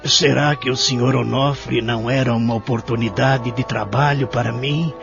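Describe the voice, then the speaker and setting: A man's voice: mid-range voice, fairly even intonation, normal speed, vocal fry, natural voice. A Brazilian man playing a lonely, remorseful elderly care-home resident who narrates his story in an audio drama, somber and reflective. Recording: dull lo-fi audio with music behind. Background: background music.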